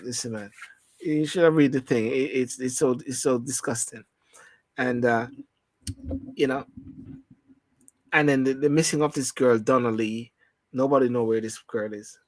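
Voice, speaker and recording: A man's voice: medium pitch, fairly even intonation, normal speed, pauses often, no room sound, low-quality recording. A man hosting a casual rum-bar style talk show, rambling and repeating himself as he loses his thread.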